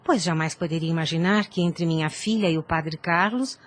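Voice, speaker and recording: A woman's voice: fairly low pitch, moderate pace, close to an everyday voice. An actress playing a middle-aged widowed mother in an audio drama, formal, dignified and astonished. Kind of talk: audio drama acting of a religious novel.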